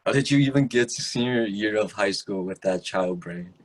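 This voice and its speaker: A man playing a bossy, skeptical high school boy in an amateur table read. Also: normal speed, lightly acted, low-quality recording.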